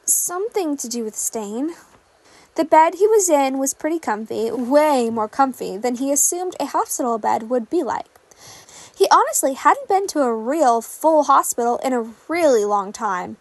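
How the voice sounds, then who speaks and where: High-pitched woman narrating a story. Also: big pitch swings, unhurried, no room sound. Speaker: fan fiction reader.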